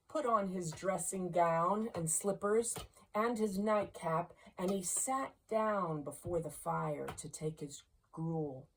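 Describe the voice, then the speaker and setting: Slow, lower-pitched woman reading a story. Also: poor audio. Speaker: amateur audiobook reader. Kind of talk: scripted storybook narration.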